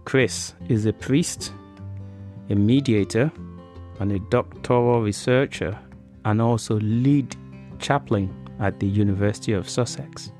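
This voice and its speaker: A man's voice: lowish voice, unhurried, a little mellow, soft background music. A man hosting a podcast in an informal, chatty, conversational way. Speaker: podcast host.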